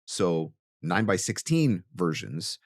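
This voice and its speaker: A man with a very low voice delivers an instructional podcast monologue. He stresses key points with emphatic repetition. The sound is close and very dry, like a treated studio. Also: very animated, slow pace, no echo. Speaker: podcast host and voice actor.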